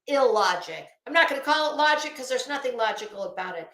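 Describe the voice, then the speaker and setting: High-pitched, blunt woman livestreamer. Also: lively intonation, fairly bright tone, echoey room. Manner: blunt, scornful, emphatic, with deliberate repetition. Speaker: livestream host. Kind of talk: opinionated livestream commentary to viewers.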